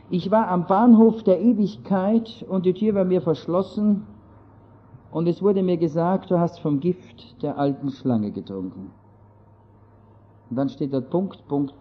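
A man's voice: high voice, a little hiss or hum. A man with an Austrian accent, somewhat Germanized, giving an earnest, digressive talk on church history to an audience. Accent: Austrian German, partly Germanized. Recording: dull lo-fi audio.

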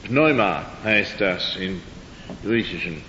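An older man speaking German as his second language gives an evening talk, unhurried and halting, with dry little jokes. The recording is dull and lo-fi, the voice bounces around a big echoey hall, and a faint low rumble sits underneath. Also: lively intonation, sharp tone. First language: not German. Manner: slow, halting, with dry humor and many fillers. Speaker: lecturer. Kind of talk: evening lecture on creation and faith.

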